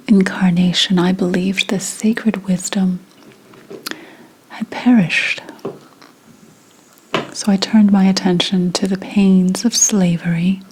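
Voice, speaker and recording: A woman playing a reincarnated seventeenth-century witch in an ASMR roleplay, telling her story in a hushed, deliberate, mournful whisper. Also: medium pitch, a little hiss or hum, natural voice, poor audio. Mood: confiding.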